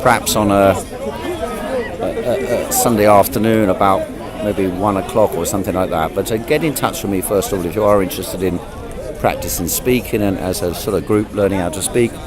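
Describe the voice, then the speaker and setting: A man hosting a livestream, interviewing people in the street, brisk and friendly with short acknowledgements. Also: lowish voice. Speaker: livestream host. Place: busy outdoor public park. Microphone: phone livestream. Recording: loud crowd outdoors.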